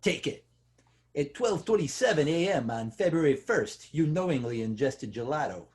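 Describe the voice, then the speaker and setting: An actor playing a cocky, loud, officious vegan police officer in an amateur table read. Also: medium pitch, very animated, moderate pace, close to an everyday voice. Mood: officious, smug.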